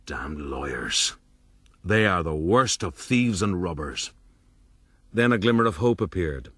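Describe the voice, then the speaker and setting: An actor playing a proud, roguish gentleman who narrates his own story in a florid, boastful, rueful way for a period radio drama. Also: sits low, expressive, measured pace, breathy edge, dry recording, full character voice. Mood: bitter, defiant.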